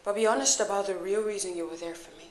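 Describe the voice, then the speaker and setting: A woman's voice: fairly high pitch, measured pace. A woman performing a dramatic film monologue as a wounded, angry young woman who confronts her lover with intense, profane accusations. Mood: quiet hurt. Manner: profane, accusatory, intense, swinging from hushed hurt to loud scorn. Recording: echoey room.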